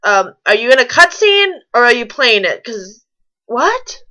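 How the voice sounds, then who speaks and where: Bright, high-pitched woman, very lively reader. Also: unhurried. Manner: wry, nitpicking. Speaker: fan fiction reader and commentator. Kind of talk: reading a story aloud with mocking asides.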